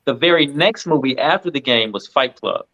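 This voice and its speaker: A man's voice: mid-range voice, animated, moderate pace, bright, forceful tone, poor audio. A man on a film panel, chatting casually in a matter-of-fact way.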